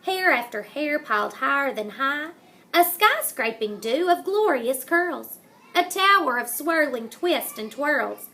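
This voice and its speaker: A woman reading a children's picture book aloud in a dramatic, deliberate manner, savoring the rhymes and wordplay. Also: high-pitched, lively intonation, pushed and bright. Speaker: storybook reader.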